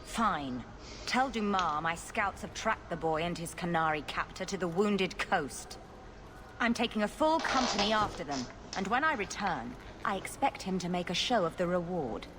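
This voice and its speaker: A woman portrays an arrogant mercenary captain in a fantasy game. She is curt and commanding, her voice animated and ringing with long hall reverb over clear noise. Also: on the low side, moderate pace, close to an everyday voice. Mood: brusque, threatening. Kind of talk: scripted video game dialogue.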